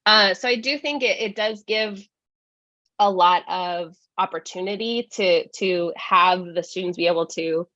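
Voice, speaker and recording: A congested woman getting over a cold, explaining procedure at a student government meeting in a measured way with many fillers. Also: fairly low pitch, fairly bright tone, no echo, highs cut off.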